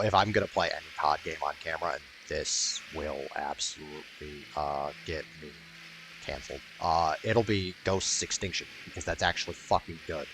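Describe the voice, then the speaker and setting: Deep voice gamer guy rambling over his gameplay, blunt and sweary, slight noise behind. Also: a bit bright, poor audio. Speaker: gaming video creator. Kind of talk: casual gameplay commentary.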